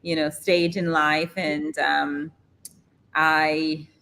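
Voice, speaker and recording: Low-voiced Trinidadian woman in her thirties. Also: extremely slow, clear, resonant tone. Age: 30s. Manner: laughs often, lively storytelling. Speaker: guest, model agency founder. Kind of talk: podcast interview.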